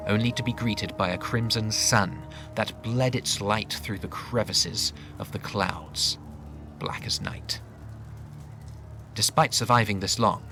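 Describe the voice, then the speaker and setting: A man's voice: on the low side, gravelly, sharp tone. A man reading dramatic scripted fantasy narration in a grave manner. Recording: faint noise and ambience.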